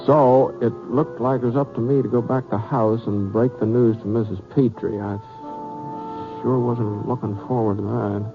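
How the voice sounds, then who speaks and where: An actor playing a mild, modest American cowboy gunslinger in a radio western, halting and plain-spoken, with a folksy drawl. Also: male voice, mid-range voice, fairly even intonation, natural voice. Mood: reflective. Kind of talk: 1950s radio western acting and narration. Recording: muffled old radio broadcast, music bed.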